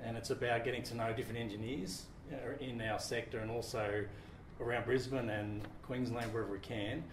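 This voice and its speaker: A middle-aged man from Australia hosting an interview, relaxed and informal, with many fillers and the odd "mate". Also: medium pitch, a little fast, few pauses. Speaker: interview host. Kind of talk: casual interview. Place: office meeting room with large windows. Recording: roomy office with faint noise.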